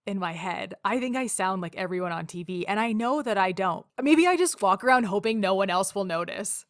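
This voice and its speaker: A woman's voice: medium pitch, lively intonation, brisk, no room sound, clean studio sound. A woman with a Canadian accent hosting a podcast, friendly and dryly joking. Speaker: podcast host. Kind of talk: casual podcast chat.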